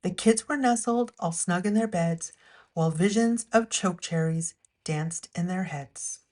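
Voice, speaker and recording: A woman reading a rhyming picture book aloud as a storyteller, with an expressive, measured storytime delivery. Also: on the low side.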